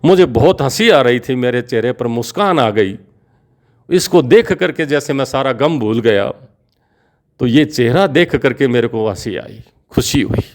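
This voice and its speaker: A man's voice: mid-range voice, lively intonation, measured pace, voice pushed up. Regretful prisoner in a fable, pleading and emotional, voiced by a man. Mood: remorseful. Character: remorseful grown male prisoner.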